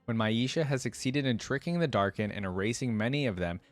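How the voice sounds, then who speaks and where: A man hosting a reaction video, reading aloud and adding casual, curious commentary as he thinks out loud. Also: mid-range voice, expressive, a little fast, dry recording.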